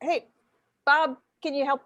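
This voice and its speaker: A woman's voice: high-pitched, fairly even intonation, measured pace, a bit bright, muffled recording. A woman playing along as a panelist in an improvised comedy game show.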